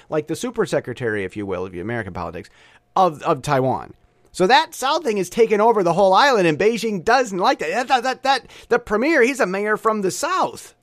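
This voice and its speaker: A middle-aged man rambles through a casual podcast monologue, swinging his pitch up and down. His voice sits a little high, and it is recorded dry and close. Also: no echo. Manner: rambling, animated, frequent restarts. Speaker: podcast host. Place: Taiwan.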